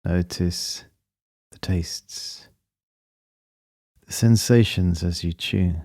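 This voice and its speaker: A man leading a guided meditation, speaking in a calm, measured and gently instructing manner. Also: low voice, no echo, studio quality.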